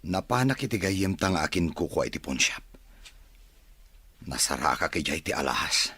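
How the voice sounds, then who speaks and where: A man acting a troubled, suspicious husband in a family drama, confiding in a husky, weary, expressive conversational way. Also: low voice, normal speed, no echo, exaggerated voice. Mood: hurt.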